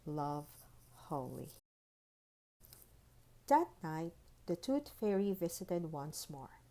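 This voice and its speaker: A woman's voice: lowish voice, big pitch swings, slow pace, dark tone. A woman reading a children's storybook aloud as narrator. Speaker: school librarian reading aloud. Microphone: phone recording.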